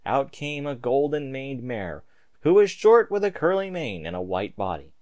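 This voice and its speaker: Man narrating with lively, swooping pitch. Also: normal speed. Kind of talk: dramatic amateur story reading.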